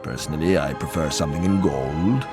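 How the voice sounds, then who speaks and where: A man's voice: a bass voice, fairly quick, over music. An actor playing a snobbish, flippant aristocrat in a video game, condescending and affected in his speech. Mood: haughty, flippant. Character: snobbish, flippant male aristocrat looking down on commoners. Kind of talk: video game cutscene acting.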